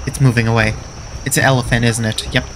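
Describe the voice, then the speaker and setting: A man's voice: medium pitch, little pitch movement, quick pace, background noise. A man in his thirties with a nasal voice, giving easygoing, casual commentary as he streams a game. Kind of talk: casual let's play commentary. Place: small room indoors. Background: game music and sounds.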